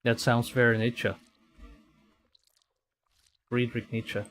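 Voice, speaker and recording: A man's voice: medium pitch, little pitch movement, takes it slowly, fairly bright tone, a little hiss or hum. A man commenting live as he streams a game.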